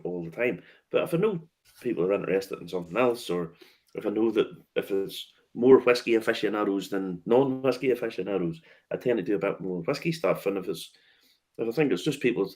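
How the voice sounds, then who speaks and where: Relaxed, low-voiced man host. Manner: relaxed, laughs often, swears casually, restarts sentences. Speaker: host and whiskey tour guide. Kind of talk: casual chat.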